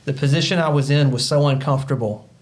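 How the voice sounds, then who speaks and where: An earnest man in his thirties talks as a podcast guest. His pitch sits in the middle, his manner is motivational and loose, with plenty of "you know" fillers. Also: fast. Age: 30s. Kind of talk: casual podcast conversation.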